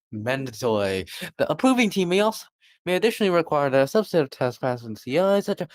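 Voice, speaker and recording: A man's voice: a little high, no room sound. A man reading a technical policy document aloud, often stumbling over words and correcting himself. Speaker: reader.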